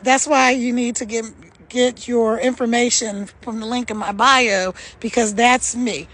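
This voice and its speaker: A woman with a gravelly voice, talking casually to her phone camera while out walking, upbeat and chatty with frequent laughs. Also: fairly high pitch, a bit bright. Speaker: online business vlogger. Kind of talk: casual direct-to-camera pitch. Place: outdoors, walking. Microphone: phone held by hand.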